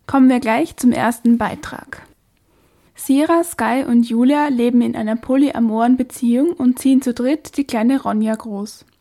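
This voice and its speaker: A woman's voice: a little high, fairly even intonation, moderate pace, dark tone. A woman radio presenter reading a scripted introduction.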